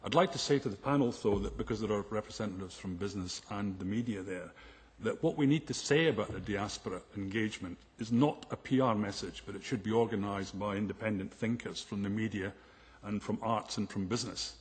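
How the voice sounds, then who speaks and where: A man's voice: on the low side, a little fast. A man in the audience at a forum, making a pointed comment and urging action. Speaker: audience member. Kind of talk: comment from the floor. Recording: long hall reverb.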